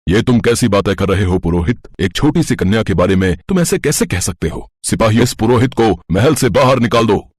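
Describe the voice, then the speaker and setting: Cartoon king played by a man: commanding, indignant, very low and husky, lively pitch. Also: fairly quick, hardly any pauses, no echo, clean studio sound. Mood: angry. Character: stern middle-aged male king.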